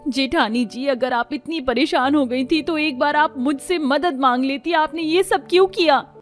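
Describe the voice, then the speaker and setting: Woman as high, pleading young daughter-in-law. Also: normal speed, soft background music, lightly acted. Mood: upset, questioning. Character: polite, caring younger daughter-in-law, anxious.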